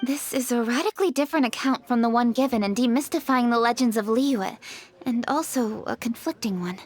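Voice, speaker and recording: Poised, higher-voiced young noblewoman, acted by a woman. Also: brisk, a little mellow, natural voice. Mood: composed. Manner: formal, composed, precise. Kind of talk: video game acting. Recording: background music under the voice.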